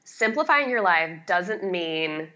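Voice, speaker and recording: A woman's voice: on the low side, animated, slow pace, bright, forceful tone, highs cut off. A middle-aged woman talking casually as an expert guest in an interview, with a chatty, emphatic, self-deprecating way of explaining. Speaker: guest, home organizing expert.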